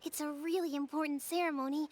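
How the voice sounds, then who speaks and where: A woman's voice: high voice, steady tone, quick pace, a little mellow, natural voice. A woman voicing an earnest, childlike little village girl in a video game. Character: earnest little village girl, worried about baby creatures. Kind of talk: video game character acting.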